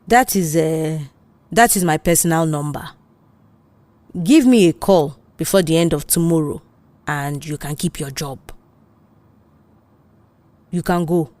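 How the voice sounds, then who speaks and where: A woman's voice: low voice, measured pace, long pauses, a little mellow, no echo. A Nigerian woman voicing a smug, predatory male manager in an audio drama, taunting and condescending. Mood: smug, menacing.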